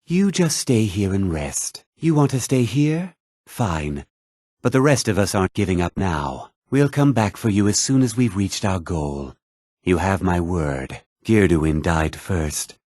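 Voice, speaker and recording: A man playing a grave older mage in a video game, with a measured, formal, theatrical delivery. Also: medium pitch, breathy edge, dry recording, studio quality, full character voice. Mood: reassuring, firm. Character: grave older male mage, stern college leader, haunted by guilt. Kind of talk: dramatic video game voice acting.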